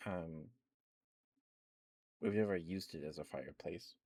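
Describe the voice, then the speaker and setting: Low-voiced young man rambling softly. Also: measured pace, slightly rough, no echo, clean studio sound. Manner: rambling, meandering, with many soft fillers and quiet laughs. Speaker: ASMR streamer. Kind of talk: soft rambling ASMR chat.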